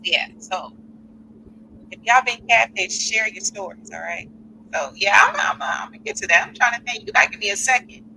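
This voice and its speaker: A woman hosting a live call-in podcast, chatty and animated, with speech and reading out listener comments. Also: medium pitch, moderate pace, gravelly, slight background noise, rough recording. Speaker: podcast host.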